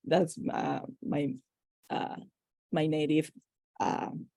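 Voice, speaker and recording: A middle-aged woman with a Dominican Spanish accent, a native Spanish speaker answering casually and haltingly as a podcast guest. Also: sits low, steady tone, gravelly, warm tone, no room sound, clean studio sound. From Dominican Republic.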